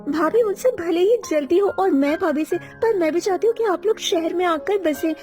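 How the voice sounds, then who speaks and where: An eager young sister-in-law from the city, voiced by a woman in a cartoon moral story. The voice is extremely high and mellow, with faint music behind it. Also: moderate pace. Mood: sincere, affectionate.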